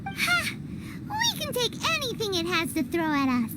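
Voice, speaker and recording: A woman voicing a small, childlike, cheeky fairy companion in an anime-style video game, squeaky and boastful. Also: huge pitch swings, normal speed, very noisy, heavily acted. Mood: excited. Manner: exclaiming, speaks of herself in third person.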